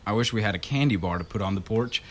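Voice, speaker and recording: An actor playing a curious, wisecracking husband in a radio drama, with a wry and casual manner. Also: male voice, lowish voice, fast, natural voice. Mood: joking. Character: wisecracking, curious husband exploring an old mansion.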